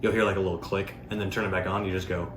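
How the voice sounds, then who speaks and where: A man with a fairly low voice talks through a product demo in a loose, informal ramble. His delivery is casual and unscripted. The room is noticeably echoey, with faint noise behind him. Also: level tone, rapid-fire, fairly bright tone. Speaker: product reviewer. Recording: roomy echo, faint background noise.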